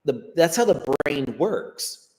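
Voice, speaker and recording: A man's voice: on the high side, very animated, takes it slowly. A man with a Western American accent, a guest teacher explaining his ideas unscripted over a video call, thoughtful and often repeating words as he gathers his thoughts. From United States. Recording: long hall-like reverb.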